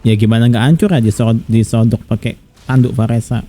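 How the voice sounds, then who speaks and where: A man's voice: mid-range voice, quick pace, mellow, husky. A man with an Indonesian accent giving casual live commentary as he plays a video game. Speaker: game streamer.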